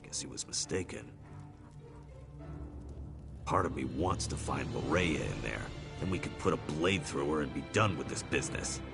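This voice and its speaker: Gravelly, low-voiced blunt warrior. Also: male voice, expressive, moderate pace, long pauses, over music, full character voice. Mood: grim, determined. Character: blunt, battle-weary male fighter itching for action. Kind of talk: scripted video game dialogue.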